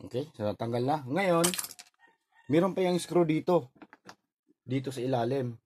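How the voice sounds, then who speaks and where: A man's voice: medium pitch, moderate pace, a little mellow. A man with a Filipino accent narrating an informal hands-on repair tutorial in short, step-by-step instructional phrases. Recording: noisy recording with clicks.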